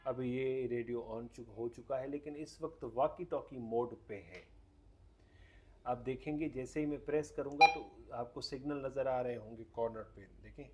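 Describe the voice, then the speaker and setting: A man's voice: medium pitch, normal speed, noisy background. A man hosting a casual, hands-on product demonstration, explaining things step by step. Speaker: host demonstrating a radio product.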